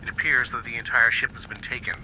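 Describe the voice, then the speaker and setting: Man as a brisk, secretive commander. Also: mid-range voice, level tone, pushed and bright, a little hiss or hum, low-quality recording. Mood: formal. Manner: brisk, formal briefing tone. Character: stern male military commander, secretive, brisk.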